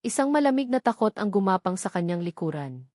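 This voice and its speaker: A woman's voice: medium pitch, big pitch swings, a little fast, a little mellow, dry recording, clean studio sound. A woman narrating a scripted love story in a solemn, suspenseful, dramatic manner.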